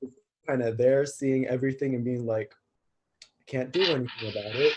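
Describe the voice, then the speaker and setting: A man with a medium-pitched voice speaks informally as a cast member. He talks in a loose, conversational style. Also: steady tone, moderate pace, dull audio. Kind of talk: casual cast Q&A chat.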